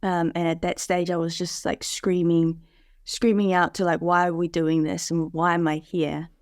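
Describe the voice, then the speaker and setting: A woman's voice: fairly low pitch, flat delivery, moderate pace, darkish tone, no echo, clean studio sound. A woman from New Zealand, a podcast guest, telling her story in casual conversation over a video call, with many fillers and restarts. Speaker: podcast guest, parish leader.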